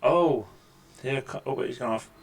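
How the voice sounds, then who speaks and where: Deep-voiced man joking through a let's-play. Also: moderate pace, vocal fry. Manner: wry, joking asides over gameplay. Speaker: gaming channel host. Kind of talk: casual let's-play commentary. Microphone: desk microphone with mixer.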